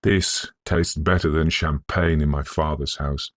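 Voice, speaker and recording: A man voicing a proud, emotional young Victorian gentleman in an audiobook, genteel and impulsive. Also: very deep voice, fairly even intonation, unhurried, no echo. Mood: cheerful, grateful.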